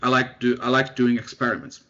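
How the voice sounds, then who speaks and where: A young man from Finland, a researcher, chatting in an interview in a relaxed, self-deprecating way with lots of fillers. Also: mid-range voice, brisk. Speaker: guest researcher. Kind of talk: casual interview chat. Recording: dull lo-fi sound.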